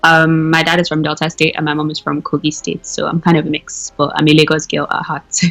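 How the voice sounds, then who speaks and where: A woman's voice: low-pitched, monotone, a little fast, creaky, a bit bright, faint music behind. A young woman from Nigeria, talking casually as a caller, with lots of fillers and self-corrections. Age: young adult. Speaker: anonymous caller. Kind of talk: casual interview.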